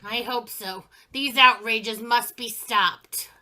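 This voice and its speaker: A woman's voice: on the high side, takes it slowly, very noisy. An actress playing a worried but firm police chief in a radio play, clipped in her orders. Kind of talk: community theater radio play.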